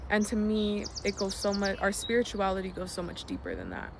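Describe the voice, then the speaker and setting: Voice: medium pitch, sing-song, brisk, creaky. A young adult vlogger from the United States, speaking in an earnest, reflective way with frequent ums and some casual swearing. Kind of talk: reflective personal vlog. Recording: noisy background with birds and passing vehicles.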